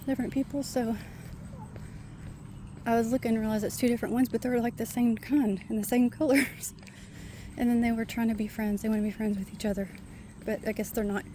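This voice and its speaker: A soft-spoken woman with a quiet voice, chatting casually to her viewers while walking outdoors in a park. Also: fairly high pitch, noisy background. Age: adult with a son. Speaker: vlogger. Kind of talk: casual walking vlog chat.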